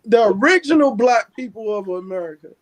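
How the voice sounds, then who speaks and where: A man tosses teasing jokes into a casual group chat and laughs often. His voice is very high and lively, jumping around as he talks. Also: brisk, low-quality recording.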